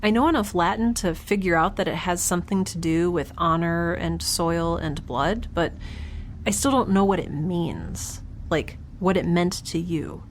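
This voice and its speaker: Wry, wistful young woman stranded in space, lowish voice, casual diary delivery, ambient noise behind her. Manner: casual, conversational, sardonic. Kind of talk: audio drama, first-person recorded diary.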